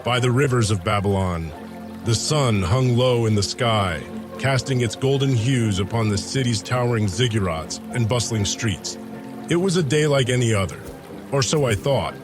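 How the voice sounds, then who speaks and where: A man delivers dramatic first-person narration in a grandiose storyteller's manner. His florid, scripted phrases unfold over clearly audible background music. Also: medium pitch, expressive, moderate pace. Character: ancient soldier turned paladin, lovestruck, reminiscing. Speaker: writer and narrator of an audio drama.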